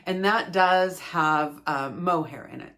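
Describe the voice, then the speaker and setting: Canadian woman, host of a craft review video, low-pitched and unhurried, rambling and self-deprecating. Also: a bit bright. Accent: Canadian English. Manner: chatty and rambling, self-deprecating, laughs at herself. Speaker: yarn reviewer, channel host. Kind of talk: casual chat, product review.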